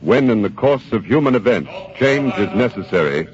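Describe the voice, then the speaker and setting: An actor playing a solemn radio reporter, giving formal, measured commentary in the style of golden age radio. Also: male voice, lowish voice, animated, close to an everyday voice. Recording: dull vintage radio broadcast, crowd murmur.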